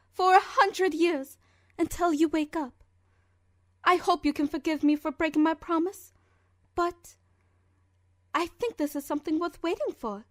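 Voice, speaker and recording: A woman's voice: extremely high pitch, no room sound, studio quality. A woman voicing a refined, lovestruck young female pony fashionista, theatrical and elegant, in an audio drama letter reading.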